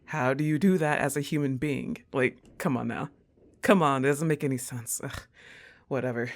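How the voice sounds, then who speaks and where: A woman playing a laid-back, teasing young goth roommate in an intimate ASMR roleplay, talking in an unhurried, conversational way to her crush. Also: rumbling low. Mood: animated, incredulous.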